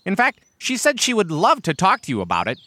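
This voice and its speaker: A man voicing a bright, exasperated turkey with swooping pitch. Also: huge pitch swings, fairly quick, a little hiss or hum, heavily acted. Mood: coaxing, sly. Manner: urgent, scolding, emphatic. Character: protective, exasperated male turkey, wary older-brother type. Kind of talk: animal storybook character voice.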